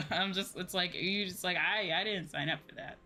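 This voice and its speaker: A woman's voice: on the low side, moderate pace, sharp tone, a little hiss or hum. A young woman chatting casually and informally as she livestreams a game, with a giggly manner. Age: young adult. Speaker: streamer. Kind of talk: casual livestream chat while gaming. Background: music.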